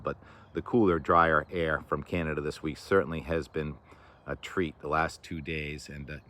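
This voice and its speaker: A man with a loud voice, chatting casually about the weather outdoors, rambling with lots of fillers, recorded on a camera mic with no lavalier. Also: low voice. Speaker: weather vlogger. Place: outdoors by the water in the morning.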